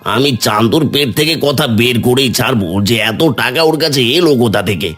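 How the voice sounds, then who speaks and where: A man's voice: mid-range voice, normal speed, fairly bright tone, faint music behind, close to an everyday voice. Man acting an envious, scheming village man. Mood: suspicious, resentful. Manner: insinuating, suspicious. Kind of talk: cartoon dialogue.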